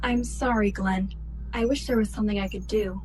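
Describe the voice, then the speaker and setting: A woman playing a logical, guilt-ridden female ship's computer in a sci-fi radio play, speaking in formal, measured phrases. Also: mid-range voice, fairly even intonation, darkish tone, lightly acted. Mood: regretful. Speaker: actor in a student radio play.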